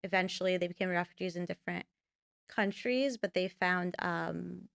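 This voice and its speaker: A woman's voice: fairly low pitch, normal speed, creaky, clear, resonant tone, dry recording, studio quality. A young woman, a filmmaker guest, talking casually in a podcast interview and rambling with many fillers. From United States. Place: podcast studio.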